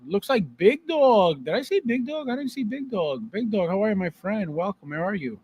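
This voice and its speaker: A man's voice: sits high, very animated, moderate pace, a little mellow, slight background noise. A man hosting a casual live stream about collectibles, conversational, repeating phrases for emphasis and checking in with the listener.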